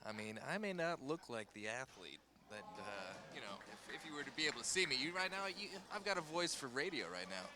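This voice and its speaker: A man's voice: mid-range voice, sing-song, fairly quick, few pauses. A man doing color commentary at a school basketball game, rambling and joking at his own expense, with lots of fillers.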